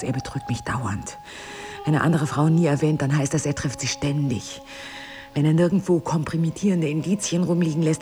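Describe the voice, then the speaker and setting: An actress playing a middle-aged, wounded and jealous wife in an intimate drama, with intense, emphatic phrasing. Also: female voice, low voice. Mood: brooding confession. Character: wounded, bitter, jealous wife, drinker, ageing and proud. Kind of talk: intimate stage-play dialogue and confessional monologue.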